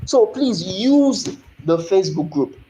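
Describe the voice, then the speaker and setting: A man's voice: high-pitched, very animated, dark tone, highs cut off, a little hiss or hum. Echoey Nigerian man teaching French. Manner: explanatory, asks "you understand?" often, spells out French slowly. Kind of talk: recorded online lesson.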